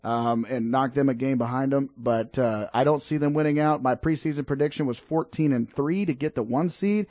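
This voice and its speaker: A man hosting a local sports radio show, chatting casually about football and high school games and rallying the fans. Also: brisk, hardly any pauses, no echo. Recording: dry, phone-like narrow sound.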